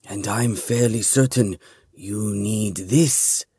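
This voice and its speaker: A man reading fantasy fiction aloud, voicing a wounded, sly elf woman who speaks quietly and dryly. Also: on the low side, slow, slightly rough, no echo, clean studio sound.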